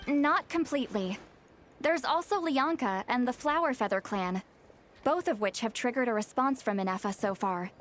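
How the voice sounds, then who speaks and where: A poised, scholarly young woman played by an actress in a video game. Her voice sits a little high and she explains things precisely and calmly, over faint ambient noise. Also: natural voice. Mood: composed, explaining. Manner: measured, articulate, precise. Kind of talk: video game dialogue, expository.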